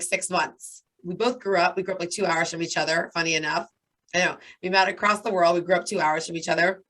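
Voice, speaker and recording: Raspy hoarse woman in her 30s, bright forceful tone, rambling chatty story, lots of "like". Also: fairly low pitch. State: hoarse from talking nonstop. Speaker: guest. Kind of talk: casual interview chat.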